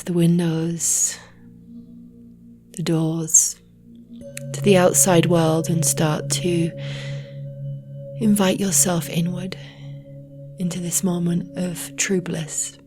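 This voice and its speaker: A woman guiding a relaxation meditation in a soft, breathy, near-whispered voice, slowly and soothingly with long pauses. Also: on the low side. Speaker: yoga nidra meditation guide. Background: meditation music with singing bowls.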